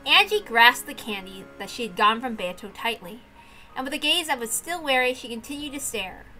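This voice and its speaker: An excitable, animated woman hosting a read-aloud series, moving between casual rambling and reading a story. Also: fairly high pitch, fairly quick, faint music behind, rough recording. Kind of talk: casual chat and visual novel read-aloud.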